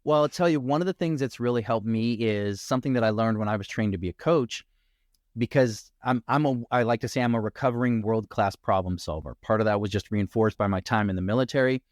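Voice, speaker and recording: A man who works as a coach, speaking as a guest in a casual podcast conversation in a reflective, measured way. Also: on the low side, a little mellow, dry recording, studio quality.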